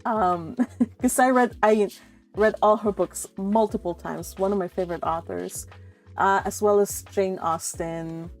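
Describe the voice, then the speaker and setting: A woman hosts a casual book livestream over faint background music. She talks in a gushing, giggly way at a middle pitch. Her sentences ramble and double back as she chats and reads out comments from viewers. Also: measured pace. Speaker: livestream host of a book read-along channel. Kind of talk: casual live-stream chat, reading out viewer comments.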